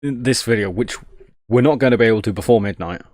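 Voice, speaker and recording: A man's voice: lowish voice, fast talker, a little mellow, no room sound, studio quality. A man casually thinking aloud on a live tech stream, jokey and self-deprecating, muttering each step as he works.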